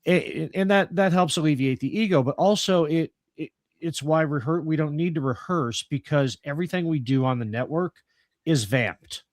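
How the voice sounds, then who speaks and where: A man's voice: a little high, moderate pace, no room sound, studio quality. A man chatting casually with a group as one of a troupe of radio players, effusive and given to swearing. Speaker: member of a radio players troupe on a podcast network.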